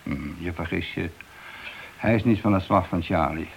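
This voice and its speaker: Evasive policeman husband: deep, hesitant, placating; hissy old radio play. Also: normal speed, vocal fry. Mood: loyal, placating. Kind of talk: Dutch radio drama acting.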